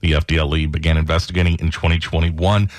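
A man reading the local news headlines as a radio anchor, in a steady, measured newsreader delivery. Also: a bass voice, dry recording. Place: radio studio.

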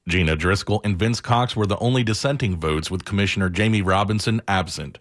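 A man's voice: lowish voice, a little fast. A man reading a scripted radio news report as a reporter.

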